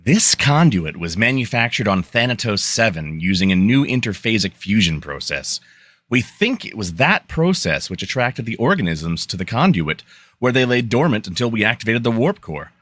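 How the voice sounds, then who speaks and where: A man's voice: lowish voice, sing-song, normal speed, fairly bright tone, no room sound. A man playing a practical, helpful starship engineer in a fan radio play. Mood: explaining.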